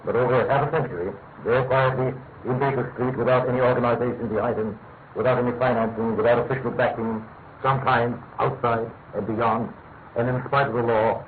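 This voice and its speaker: A man playing an exacting older spy chief in a radio drama, dry, sardonic and deliberate. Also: mid-range voice, fairly even intonation, natural voice. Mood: reflective, grave. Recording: muffled phone-like audio with faint noise.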